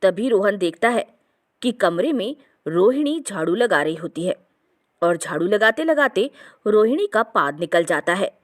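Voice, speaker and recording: A woman's voice: mid-range voice, big pitch swings, a little slow. A woman narrating a scripted comic family story as a storyteller.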